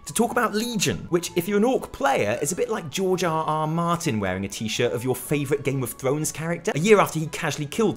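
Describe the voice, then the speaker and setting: Animated British man, sarcastic comic host. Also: on the high side. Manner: theatrical, sarcastic. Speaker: gaming news show host. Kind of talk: scripted comic news commentary. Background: background music.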